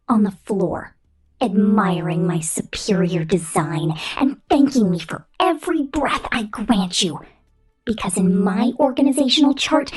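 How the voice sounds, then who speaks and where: A woman voicing a vain, domineering female robot corporate boss who scorns humans, in a condescending, menacing ASMR roleplay with a whispery, deliberate delivery. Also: medium pitch, poor audio. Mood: possessive, condescending. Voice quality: breathy, whispery. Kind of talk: ASMR roleplay monologue, scripted.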